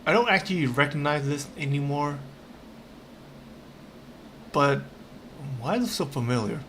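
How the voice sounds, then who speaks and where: Female livestreamer: very deep register, offhand halting commentary, music underneath. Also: expressive, a bit bright, a little hiss or hum. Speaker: game livestreamer. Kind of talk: casual reactive game commentary. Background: game music.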